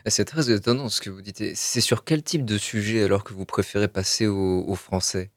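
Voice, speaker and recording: A man hosting a radio interview, asking questions in a relaxed way with frequent murmured acknowledgements. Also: lowish voice, a little fast, no room sound, clean studio sound. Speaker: radio host.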